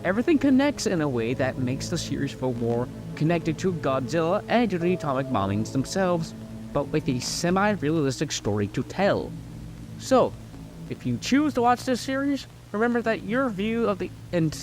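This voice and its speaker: A man's voice: fairly high pitch, noisy background. A man narrating a scripted video essay, explaining his points earnestly and emphatically with an occasional stumble.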